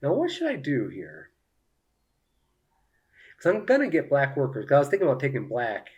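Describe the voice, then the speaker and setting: A man's voice: medium pitch, huge pitch swings, normal speed. A man giving casual live commentary on a solo board game, thinking aloud and explaining each move to viewers.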